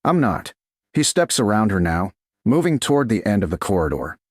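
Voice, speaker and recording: A man narrating a scripted romance story in a measured, deliberate way, with short dramatic phrases. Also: on the low side, big pitch swings, darkish tone, no echo, clean studio sound.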